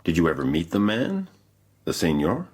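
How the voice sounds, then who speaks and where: An actor playing a probing, dryly curious male detective chief in a radio drama, with a deep, gravelly, husky voice. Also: wildly swooping pitch, normal speed, exaggerated voice.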